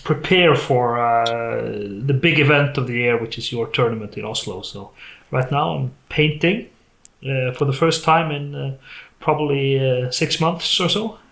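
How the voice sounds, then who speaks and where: Creaky-voiced Norwegian man in an echoey room. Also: medium pitch, measured pace, a bit bright. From Norway. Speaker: podcast guest. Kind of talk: casual chat. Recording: roomy, echoey sound.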